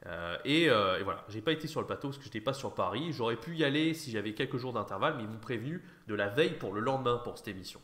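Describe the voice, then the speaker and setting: A man's voice: mid-range voice, expressive, quick pace, few pauses, a bit bright. A man chatting casually as an online host, slightly nasal from an allergy, with an informal, rambling delivery. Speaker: YouTube host, online French teacher trainer. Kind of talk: casual chat, reaction commentary.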